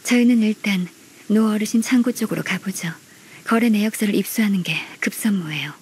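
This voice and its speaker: A woman's voice: medium pitch, a little mellow, a little hiss or hum, natural voice. Woman playing a formal, politely stern young secretary. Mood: composed. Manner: formal, measured, politely firm. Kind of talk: Korean anime-style game voice acting.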